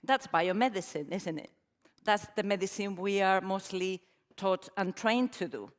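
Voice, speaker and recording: A middle-aged woman from Spain with a Spanish accent, giving a conference talk in a warm, self-deprecating way with frequent fillers. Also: mid-range voice, a little slow. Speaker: conference speaker. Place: large conference hall. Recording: reverberant hall, stage mic.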